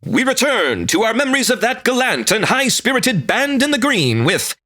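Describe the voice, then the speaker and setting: A man's voice: extremely high pitch, big pitch swings, normal speed, sharp tone, heavily acted. A man playing a patient, awkward radio announcer in a comic audio drama, by turns hesitant and theatrical. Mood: grand. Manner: hesitant in dialogue, grandly theatrical when narrating. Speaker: narrator.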